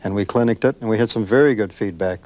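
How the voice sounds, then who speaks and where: An American man in a product development panel discussion, talking in measured, halting corporate phrases. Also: fairly low pitch, darkish tone, dry recording.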